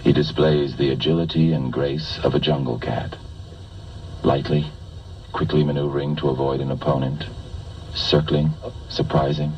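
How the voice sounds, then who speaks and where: A man's voice: extremely low pitch, little pitch movement, normal speed, a little mellow, husky. A man giving solemn, declamatory narration for an old documentary film. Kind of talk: scripted narration. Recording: dull lo-fi archive film audio.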